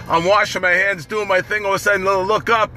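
A middle-aged man with a raspy voice, chatting casually and profanely on a livestream from a parked car, phone held at arm's length. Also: sits high, little pitch movement, a little fast, sharp tone, soft background music. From New York. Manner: loose, profane, chatty, laughing often. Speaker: livestream host.

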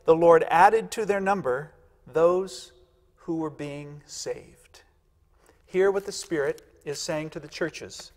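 A man in his fifties, a pastor giving a sermon in a church sanctuary, emphatic and repeating key phrases for weight. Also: high voice. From United States. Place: large church sanctuary, indoors. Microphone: lapel mic. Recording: long church reverb.

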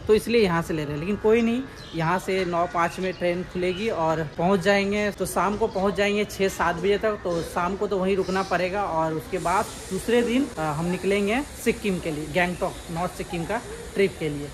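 A man's voice: high-pitched, a little fast. A man in his thirties making a casual travel vlog, chatting and explaining as he films himself with a phone at arm's length on railway platforms and inside a train. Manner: chatty, explains as he films, mixes in English words. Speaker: travel vlogger. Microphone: phone held at arm's length. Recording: loud crowd and train noise on a phone. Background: crowd noise and train sounds.

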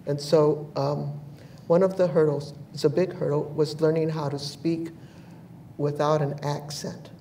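An older woman from New Mexico on a panel, telling personal memories in a measured, thoughtful way with frequent pauses. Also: deep voice, level tone, warm tone. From United States (New Mexico). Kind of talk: reflective personal storytelling. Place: bright large indoor room, seated in armchair. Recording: echoey room, distant mic, faint hiss.

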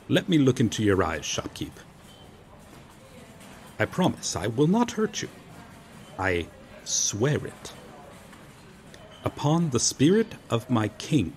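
Guy doing a polite confused noble knight for asmr roleplay, takes his time, pitch swoops around, old timey formal talk. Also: a little hiss or hum, full character voice. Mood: wary, solemn. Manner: formal archaic phrasing, theatrical, hesitant pauses. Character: courteous, befuddled medieval knight, earnest and noble. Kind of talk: ASMR roleplay audio drama, addressing the listener.

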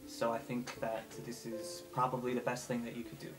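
A player voicing a supportive student friend in a tabletop game, hesitant and gently reassuring. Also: mid-range voice, level tone, a little fast, lightly acted. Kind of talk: improvised tabletop roleplay. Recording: music behind the voice.

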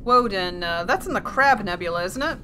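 A woman's voice: medium pitch, lively intonation, brisk, noisy background, close to an everyday voice. A woman playing a wry, matter-of-fact emergency shuttle pilot in an audio drama. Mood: casual, questioning. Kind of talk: audio drama first-person narration and dialogue.

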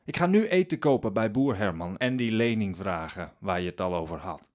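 A man's voice: on the low side, animated, brisk, darkish tone, natural voice. An actor playing a desperate, brooding eighteenth-century peasant father in a Dutch radio drama, muttering secretively. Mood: subdued, resolved. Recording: dramatic music behind the voice.

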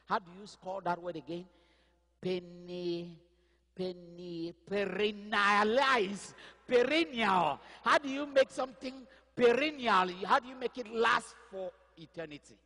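A man in his fifties whose first language is not English, preaching a sermon on a church stage with a headset mic, animated and gesturing as he searches aloud for words. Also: high-pitched, in a large hall. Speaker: pastor. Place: stage of a large church hall.

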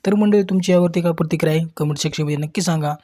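Online news presenter, mellow tone, scripted narration speaking directly to viewers. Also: mid-range voice, very fast.

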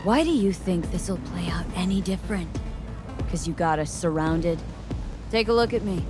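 A woman's voice: fairly low pitch, expressive, normal speed, lightly acted. An actress playing a cocky, hard-edged female mercenary in a video game, sardonic and unflappable. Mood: threatening. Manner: sardonic, street-slang, unflappable. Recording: music behind.

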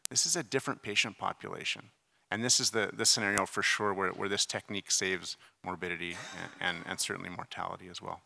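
Gravelly, bright-voiced man lecturing in a hall. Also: sits low, fairly even intonation, quick pace, a little hiss or hum. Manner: conversational, emphatic explaining with asides. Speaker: surgeon giving conference debate presentation. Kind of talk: conference lecture with slides. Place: large conference hall, at a podium. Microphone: podium microphone. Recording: reverberant conference hall PA.

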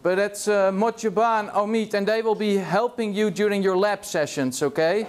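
A middle-aged Dutch man lecturing in a big university hall, his voice sitting noticeably high for a man. He speaks English with a Dutch accent in a loose, chatty way, often tossing a quick "yeah?" to the students. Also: a little hiss or hum. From Netherlands. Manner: casual, chatty, tags remarks with "yeah?". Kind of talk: classroom lecture.

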